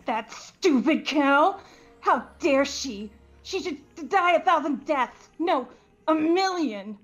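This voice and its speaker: A woman's voice: high-pitched, lively intonation, slow. A woman voicing a venomous, neurotic teenage girl in a video game, seething and melodramatic. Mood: spiteful fury. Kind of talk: amateur video game voice-over. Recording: slightly muffled, lo-fi microphone.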